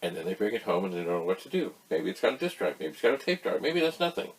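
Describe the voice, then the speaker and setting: A man with a fairly low, husky voice hosts a casual explainer. He talks in a folksy, rambling way, wandering into asides and pausing for emphasis. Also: big pitch swings, poor audio. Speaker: host of a retro computer tutorial channel.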